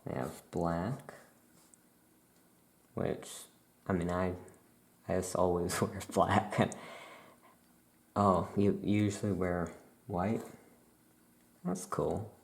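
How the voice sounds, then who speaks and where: A performer voicing a chatty, musing necromancer witch shopkeeper in a soft-spoken ASMR roleplay, with writing sounds behind. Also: sits low, steady tone, very slow, gravelly, long pauses, exaggerated voice. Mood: relaxed, musing.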